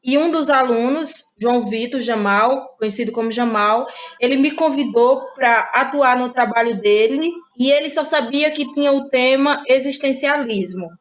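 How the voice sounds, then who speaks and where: High-voiced woman. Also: moderate pace, few pauses. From Ceará, Brazil. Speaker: interviewed playwright and actress. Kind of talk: conversational personal account.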